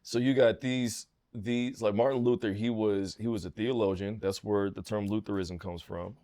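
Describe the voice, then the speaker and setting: A young man hosts a relaxed outdoor chat with his father. His voice sits a bit low, and he talks in a laid-back, slangy way and laughs easily. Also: normal speed. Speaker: podcast host, son. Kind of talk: casual podcast chat. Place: outdoors at a home, Sunday evening.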